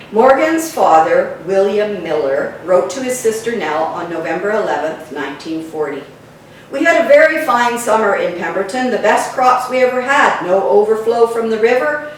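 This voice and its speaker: A woman reading local history memoirs and letters aloud, in measured short phrases like storybook narration. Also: fairly low pitch. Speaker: reader of a local history book. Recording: very echoey room, distant mic, faint noise.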